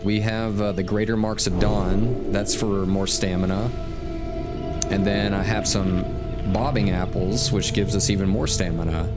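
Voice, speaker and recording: A man giving casual, laid-back gameplay commentary as he hosts a stream, thinking aloud and reading out quest text. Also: lowish voice. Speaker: game livestream host. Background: game music.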